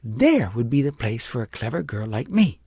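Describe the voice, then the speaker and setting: A man's voice: medium pitch, very animated, normal speed, warm tone, slightly rough. A man voicing a vain, scheming, self-pitying young shepherd girl in a haughty, dramatic storybook manner. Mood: wistful, boastful. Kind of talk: acted audiobook storytelling.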